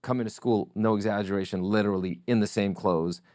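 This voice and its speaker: Russian-native man, middle-aged, deep voice, dry close mic, thoughtful interview guest. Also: fairly even intonation, darkish tone, no room sound. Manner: reflective, measured storytelling. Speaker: podcast guest. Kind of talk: casual interview chat.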